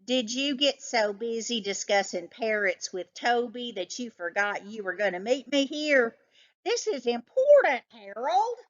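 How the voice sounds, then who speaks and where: A woman's voice: a little high, big pitch swings, a little slow, dull audio, pitched above the performer's own voice. A woman voicing a scornful, know-it-all male cat with a snappish, pedantic manner in a children's storybook. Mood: impatient, bossy. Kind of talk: children's storybook read-aloud.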